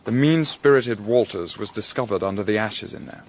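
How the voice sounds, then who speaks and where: Cool, educated English gentleman. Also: lowish voice, lively intonation, slight background noise. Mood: quietly threatening. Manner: polite, measured, dryly ironic. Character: cool, courteous, quietly determined Victorian gentleman investigator. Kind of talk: period radio drama acting.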